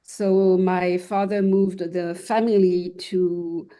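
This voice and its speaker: Halting older woman, mellow interview voice. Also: fairly low pitch, little pitch movement. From Switzerland and France. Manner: halting, searching for words. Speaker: artist guest being interviewed. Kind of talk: reflective casual interview answers.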